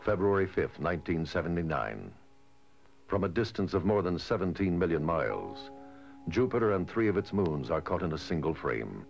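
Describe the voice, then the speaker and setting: A man reading scripted documentary narration in a measured, formal way, on a dated soundtrack. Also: low voice, big pitch swings, vocal fry. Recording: dull, dated lo-fi film soundtrack. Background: music.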